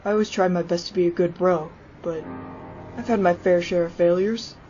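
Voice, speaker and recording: A casual, tender teenage skeleton boy, voiced by a woman in a lowish register, the protective big brother of a comic dub. The recording is slightly muffled, with music underneath. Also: fairly even intonation, normal speed, warm tone, close to an everyday voice. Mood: wistful. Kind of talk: fan comic dub, narration and dialogue. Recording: muffled lo-fi audio over music.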